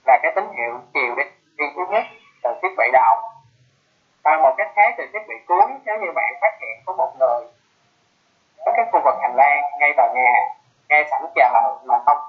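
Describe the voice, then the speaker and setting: A man explains a technical product as a webinar presenter, speaking at a middling pitch. His delivery is halting, with breaks in the middle of phrases. The sound is thin and band-limited, like a phone line on an online conference call. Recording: muffled phone-like web call audio.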